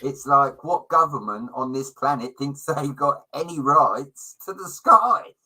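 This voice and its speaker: A man's voice: medium pitch, lively intonation, measured pace, bright, forceful tone, slightly rough, poor audio. A middle-aged British man in casual livestream chat, talking in a chummy, opinionated way with slang and swearing. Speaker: livestream co-host.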